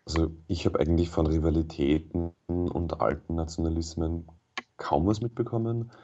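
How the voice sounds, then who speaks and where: A man speaking German as his first language, a radio interview guest who explains things in a measured way with frequent hesitations. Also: rumbling low, steady tone, lo-fi sound, noisy background. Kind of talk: thoughtful radio interview answers.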